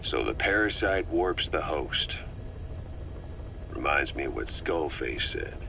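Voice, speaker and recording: Stern game soldier, very deep, forceful, gruff, terse, probing. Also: male voice, expressive, slow pace, a little hiss or hum, heavily acted. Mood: grim, curious. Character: gruff, stern, probing male military officer. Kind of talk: video game dramatic dialogue.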